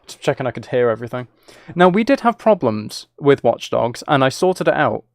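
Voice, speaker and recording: A man's voice: mid-range voice, big pitch swings, brisk, creaky, dry recording, studio quality. A man chatting casually as he hosts a livestream, rambling and thinking aloud. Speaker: streamer.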